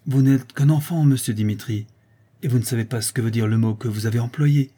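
A man's voice: medium pitch, brisk, warm tone, breathy edge, lightly acted. A man voicing a placid young woman, a humble dependent in a storybook, speaking politely with a touch of condescension. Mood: offended, composed.

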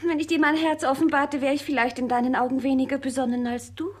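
An actress playing a gentle, anxious young woman in love in a classic radio play, tender, hesitant and often hushed. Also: sits high, little pitch movement, fast, lightly acted. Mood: affectionate, hesitant.

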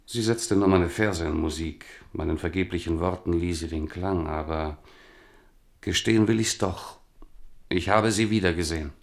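Casting: king's sorrowful companion, low and gravelly, solemn poetic delivery, radio play. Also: normal speed. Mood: wistful confession. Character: king's old Huguenot companion, male, melancholy, reproachful poet. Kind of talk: German radio drama acting.

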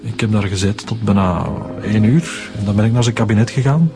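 A man's voice: lowish voice, quick pace, music in the background, natural voice. An actor with a Flemish accent playing a weary middle-aged man who confesses to a killing in a radio drama. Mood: resigned. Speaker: suspect.

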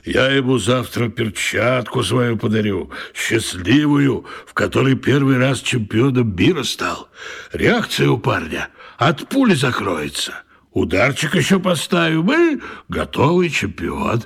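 An actor playing a brash, boastful boxing coach who talks in emphatic slang in a radio drama. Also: male voice, medium pitch, sing-song, a little slow, vocal fry, breathy edge, heavily acted. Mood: proud. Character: brash boastful male boxing coach, simple-minded and keen.

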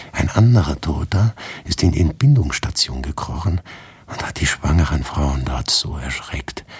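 An actor playing an agitated writer who narrates his own macabre tale in a radio drama, husky and intense, lapsing into whispers. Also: no echo, heavily acted. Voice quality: very deep, husky, gravelly, often breathy whisper. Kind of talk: literary radio drama narration, first person.

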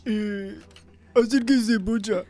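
A woman's voice: medium pitch, steady tone, slow pace, darkish tone. A woman playing a rural police chief in a live comic radio play. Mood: coaxing.

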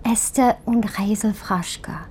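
A woman's voice: medium pitch, slow pace, mellow, slight background noise, lightly acted. An actress in a radio drama playing an anxious Holocaust survivor searching for her lost sisters, hesitant and insistent.

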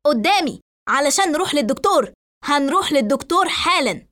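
A woman's voice: high-pitched, fast talker, a bit bright, pitched above the performer's own voice. Woman acting an alarmed, scolding Egyptian mother. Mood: angry, alarmed. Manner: scolding, agitated.

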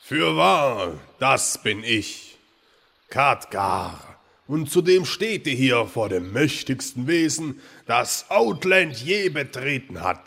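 A man's voice: mid-range voice, sing-song, gravelly, sharp tone, exaggerated voice. A man playing a pompous, conceited master mage who talks down to others in a parody audio drama. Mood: pompous, disdainful. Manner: pompous, grandly slow.

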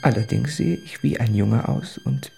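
Anguished, gravelly letter writer. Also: male voice, lowish voice, animated, normal speed, mellow, faint music behind. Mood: confessional. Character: anguished man of almost thirty writing confessional letters. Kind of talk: literary radio drama monologue.

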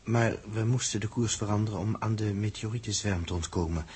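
Sixties radio sci-fi: edgy, sardonic crewman, rough husky voice on the low side. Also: brisk, darkish tone. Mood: grave, reluctant. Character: blunt, jealous, edgy male spaceship crewman. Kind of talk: 1960s radio drama acting.

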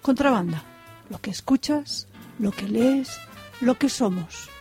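A woman's voice: a little high, lively intonation, a little slow. A woman narrating a scripted radio promo as its announcer. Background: music behind the voice.

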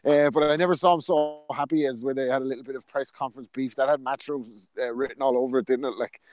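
A man's voice: mid-range voice, fairly even intonation, quick pace. A man co-hosting a casual podcast, cracking jokes and laughing at his own quips. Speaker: podcast co-host. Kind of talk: casual podcast chat about boxing.

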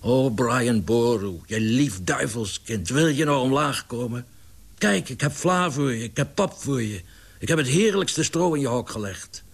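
A man's voice: on the low side, animated, moderate pace. A man voicing a big, boisterous, doting pigeon owner in a storybook, exclaiming and coaxing with plaintive indignation. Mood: coaxing, cooing.

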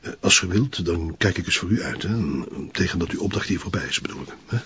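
A self-satisfied Flemish police commissioner in a television drama, with a deep, very breathy-rough voice. He is chummy and unctuous, often hesitating mid-phrase. The sound is slightly dull and boxed in. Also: expressive, quick pace, bright, forceful tone, dry recording, heavily acted. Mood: oily, obliging. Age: middle-aged. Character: jovial, fawning, self-satisfied middle-aged police chief. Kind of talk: naturalistic TV drama acting. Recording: dull band-limited TV audio.

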